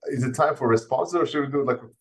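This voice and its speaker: A man's voice: medium pitch, quick pace. A Croatian man in his forties, speaking on a panel debate with many fillers and restarts.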